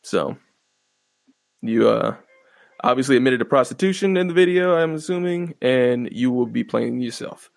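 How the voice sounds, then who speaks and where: Monotone, gravelly male podcast host. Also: medium pitch, moderate pace, no echo, faint music behind. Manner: laid-back, profane, rambling with many fillers. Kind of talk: casual solo podcast commentary. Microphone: close podcast microphone.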